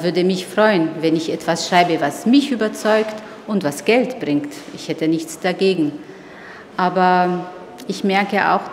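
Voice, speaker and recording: Woman, middle-aged, kinda low voice, hesitant thoughtful interview, big echoey hall, lots of background noise. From Tunisia. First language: Arabic. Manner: thoughtful, halting with frequent hesitations. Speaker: writer, interviewee. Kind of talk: reflective interview talk. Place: exhibition opening venue.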